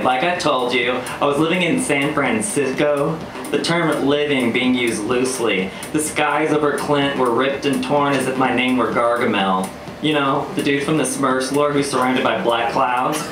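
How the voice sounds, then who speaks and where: A man's voice: mid-range voice, noisy background. A man performing live spoken-word storytelling in a wry, theatrical, articulate way. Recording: roomy live venue, distant mic, music. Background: electronic beats and music.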